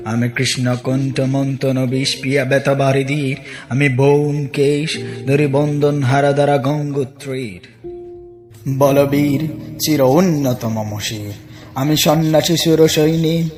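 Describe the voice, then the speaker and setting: A man reciting poetry in a dramatic, declamatory manner, in emphatic short phrases broken by pauses. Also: a little high, darkish tone. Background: music.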